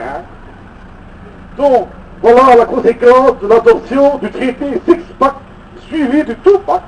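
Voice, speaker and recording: High-pitched Belgian man giving a political speech. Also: a little mellow. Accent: Belgian French. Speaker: political activist speaker at a citizens' rally. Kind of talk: political speech from notes to a street gathering, with asides. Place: outdoor public gathering. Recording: muffled distant outdoor recording with faint traffic noise.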